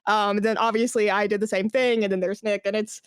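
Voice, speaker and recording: A woman's voice: medium pitch, little pitch movement, brisk, smooth, no echo, studio quality. A young woman talking candidly and informally as a podcast guest, with wry turns of phrase. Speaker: podcast guest, esports brand manager. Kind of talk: casual interview chat.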